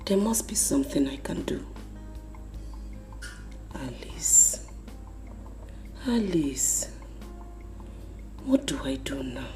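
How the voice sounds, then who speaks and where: A woman's voice: mid-range voice, sing-song, unhurried, long pauses, darkish tone, echoey room, faint music behind. An actress with a Nigerian accent playing a proud, indignant middle-aged queen and mother in a film drama. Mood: brooding, distressed. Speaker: actor.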